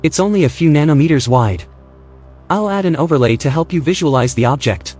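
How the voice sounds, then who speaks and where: An actor playing a literal-minded, slightly peevish station technician in a science-fiction audio drama. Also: male voice, a little high, lively intonation, fairly quick, darkish tone, natural voice. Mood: matter-of-fact. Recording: music bed behind the voice.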